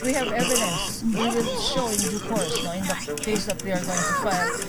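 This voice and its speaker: A woman's voice: mid-range voice, normal speed. A woman with a Filipino accent making an emphatic press statement as a lawyer, repeating and rephrasing her points. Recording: loud music over the interview clip. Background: loud music.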